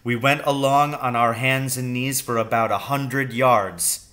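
A middle-aged man reading a children's novel aloud in a measured, suspenseful storytelling manner. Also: medium pitch, steady tone, bright, forceful tone. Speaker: storybook reader. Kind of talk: children's novel read aloud, first-person narration. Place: room at home with wooden ceiling.

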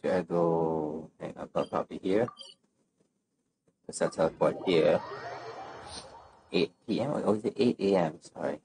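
Low, very slow-talking male game streamer. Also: creaky, warm tone, a little hiss or hum, low-quality recording. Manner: terse, low-key, thinking aloud. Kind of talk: casual muttered gameplay commentary. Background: game music.

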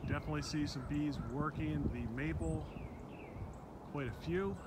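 A man beekeeper calmly narrating a hive check outdoors, filming with a handheld phone. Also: fairly high pitch, normal speed. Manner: calm, matter-of-fact commentary. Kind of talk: casual narration while filming. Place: outdoors among beehives in snowy yard. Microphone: handheld phone camera. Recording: outdoor phone recording with steady background noise.

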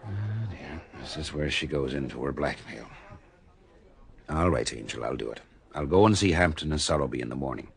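An actor playing a wisecracking private detective, with a dry, sardonic way of talking, in old-style radio drama acting. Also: male voice, very deep voice, animated, moderate pace, gravelly, husky, exaggerated voice. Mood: wry.

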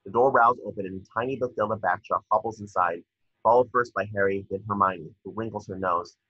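A man reads stage directions in a measured, slightly stumbling way. His voice is low, raspy and forceful, captured dry through dull, lo-fi video call audio. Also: no echo. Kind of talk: scripted narration at a table read.

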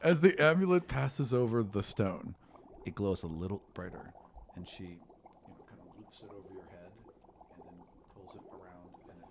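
A man in his thirties with a fairly low voice narrates as game master. He improvises scene description close to a studio microphone. Faint noise sits underneath. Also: normal speed. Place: small studio room indoors. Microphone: microphone on stand, close.

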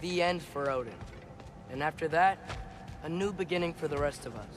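An actor playing an earnest, hopeful teenage warrior son in a video game, speaking in an eager, conversational way. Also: male voice, a little high, fairly quick, close to an everyday voice. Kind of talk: video game drama acting. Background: loud music.

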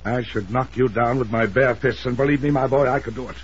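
An actor playing a proud, imperious older wealthy uncle, indignant and blustering, in an old-time radio drama. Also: male voice, medium pitch, fairly quick, natural voice. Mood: blustering anger. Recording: muffled, noisy old radio recording.

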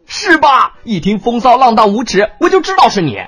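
A performer voicing a brash, excitable young man, a blunt and boastful best friend. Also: medium pitch, a little fast, slightly rough, faint music behind. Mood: incredulous, mocking. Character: brash, excitable, foul-mouthed young male buddy.

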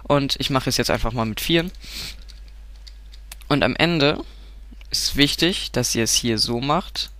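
A man with a stuffy, cold-clogged voice talks through a game tutorial at a desk mic. His tone is bright and forward, the sound dry and close, with keyboard clicks behind him. He rambles, fills pauses and keeps correcting himself. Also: mid-range voice, no room sound. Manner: rambling, self-correcting, frequent filler sounds. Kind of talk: casual gameplay tutorial.

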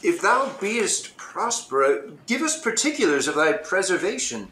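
A man's voice: high voice, sing-song, measured pace, pushed and bright, slight background noise, full character voice. A man playing a grieving, repentant king and father in a Shakespearean radio play, speaking with earnest formality. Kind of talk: Shakespearean audio drama acting. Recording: roomy, echoing home recording.

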